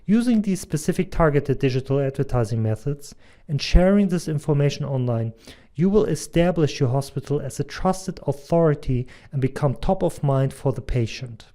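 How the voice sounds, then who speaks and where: A man in his thirties with a German accent, giving an earnest, measured presentation as a doctor and advertising consultant. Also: a little high, expressive, mellow. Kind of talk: scripted presentation voice-over.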